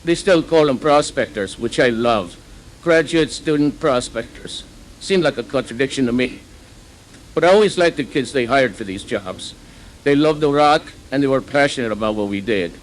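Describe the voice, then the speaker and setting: A man's voice: medium pitch, animated, normal speed, natural voice, rough recording. Male actor as seasoned doubting driller, mid-range voice, analog horror testimony with slight background noise. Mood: skeptical, wry. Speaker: drill operator giving testimony. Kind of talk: conversational first-person horror testimony.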